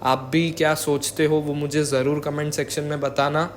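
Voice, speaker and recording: A young man talks through his commentary informally. His voice sits a little high and stays quite monotone, with English words dropped in. There is faint noise behind him. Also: moderate pace. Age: young adult. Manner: code-switches with English words. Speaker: vlogger.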